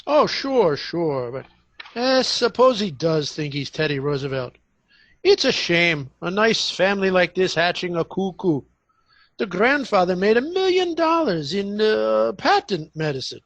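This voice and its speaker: A man plays a genial neighbourhood cop in a radio comedy, chatty and deferential. His voice is high and very animated, with a close, dry sound. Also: a little slow, no room sound, full character voice. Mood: folksy, chatty. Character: genial, folksy neighbourhood police officer. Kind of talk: radio comedy theatre acting.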